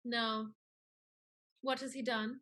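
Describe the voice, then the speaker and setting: A woman's voice: fairly high pitch, flat delivery, takes it slowly, pushed and bright. A woman playing a scheming, catty society lady in a Restoration comedy, with an arch, pointed, mannered delivery. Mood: arch, worldly. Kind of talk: theatrical Restoration comedy acting.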